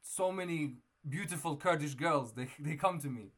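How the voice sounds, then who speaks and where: A man's voice: fairly high pitch, moderate pace, fairly bright tone. A man hosting a random video chat, talking casually and playing confused and innocent. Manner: playful, feigning innocent confusion. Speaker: video chat prankster host. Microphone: webcam or phone mic on video chat.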